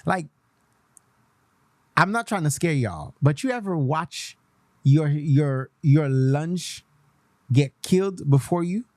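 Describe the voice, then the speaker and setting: A man's voice: on the high side, expressive, slow, long pauses, darkish tone, no echo. A young man from Haiti, hosting a radio show in a casual, passionate way, switching between English and Creole and repeating himself for emphasis. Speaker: radio host.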